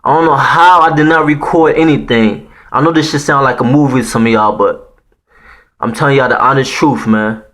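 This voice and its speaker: A man's voice: medium pitch, fairly quick, a bit bright. A man in his thirties from Haiti with a Haitian accent, telling a personal story to viewers in a casual, slangy, profane way with lots of "man" fillers. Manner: slangy and profane, peppered with "man" and "you know what I'm saying".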